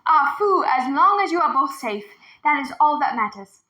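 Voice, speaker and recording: A woman's voice: high voice, lively intonation, moderate pace, pushed and bright, reverberant, voice pushed up. Woman playing an anxious old grandfather, raised voice. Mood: relieved, tender. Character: tall gray-haired grandfather, anxious and loving.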